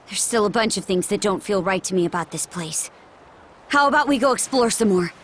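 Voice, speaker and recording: A woman's voice: medium pitch, animated, a little fast, slight background noise, lightly acted. A woman playing a bold, commanding young seafaring warrior in a video game. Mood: thoughtful. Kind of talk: scripted video game voice acting.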